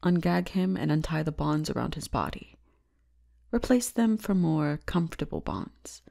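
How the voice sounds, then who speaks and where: A woman playing a commanding, teasing demon lord's daughter in an intimate ASMR roleplay, soft-spoken and unhurried, at times whispering. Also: low voice, sing-song, creaky, dry recording, studio quality, exaggerated voice. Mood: stern, commanding. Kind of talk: ASMR roleplay, intimate scripted character acting.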